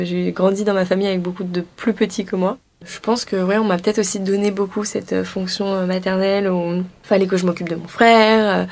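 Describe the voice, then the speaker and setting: An earnest woman in her twenties talks warmly about her own hopes. Her voice sits in the middle of the female range. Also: mid-range voice. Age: 20s. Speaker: interviewee. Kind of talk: reflective personal testimony.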